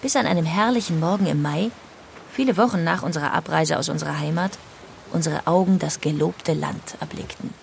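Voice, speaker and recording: An actress playing an emigrant woman, reading with an earnest, lyrical, gravelly voice. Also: sits low, brisk, slight background noise. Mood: wistful. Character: emigrant woman recalling the sea voyage. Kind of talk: dramatized reading. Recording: music faintly underneath.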